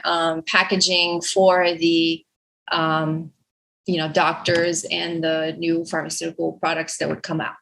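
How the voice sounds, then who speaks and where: A Romanian woman, a native speaker of Romanian, talks slowly and thoughtfully as a podcast guest. Her voice is bright and forward, and she pauses often with fillers and restarts. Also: lowish voice, low-quality recording. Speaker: guest artist. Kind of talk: podcast interview.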